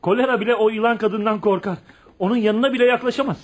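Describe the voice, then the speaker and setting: A man's voice: high-pitched, fast, faint music behind, natural voice. A man acting a fierce, bossy, protective young man in a Turkish radio play, with an emphatic, theatrical delivery. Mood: grave, resolute.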